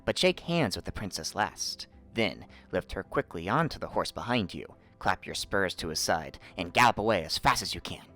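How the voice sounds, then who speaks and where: A man's voice: sits low, lively intonation, moderate pace, few pauses, fairly bright tone, soft background music. A man voicing a cunning old fox who gives wise, emphatic counsel in a storybook reading. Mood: eager, scheming. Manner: instructing, emphatic.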